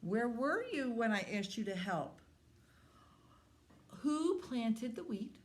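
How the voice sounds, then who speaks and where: A woman voicing a hardworking, indignant hen in a storybook, with emphatic, drawn-out words. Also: lowish voice, big pitch swings.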